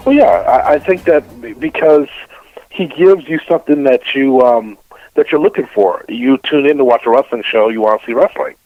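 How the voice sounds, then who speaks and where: A man's voice: medium pitch, moderate pace, narrow, tinny audio, faint music behind. A middle-aged man, a radio host being interviewed, giving casual opinions and often repeating phrases as he builds a point.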